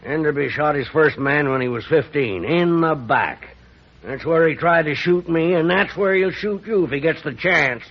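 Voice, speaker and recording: An actor playing a scornful, wounded American rancher in a radio Western, with gravelly, folksy, blustering speech. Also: male voice, on the high side, normal speed. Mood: grudging, bitter. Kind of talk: old-time radio Western acting. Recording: muffled vintage radio recording.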